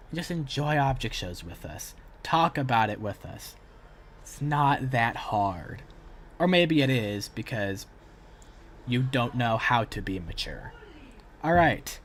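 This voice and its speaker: A man's voice: mid-range voice, animated, slow, a bit bright, slight background noise. A man chatting casually as the host of a reaction livestream. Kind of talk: casual reaction stream chat.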